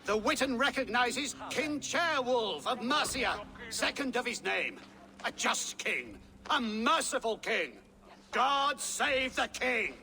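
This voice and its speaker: An actor playing a stern councillor in a video game, formally declaiming a royal judgement. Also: male voice, very high-pitched, lively intonation, takes it slowly, creaky, over music, exaggerated voice. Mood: proclaiming. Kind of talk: dramatic video game acting.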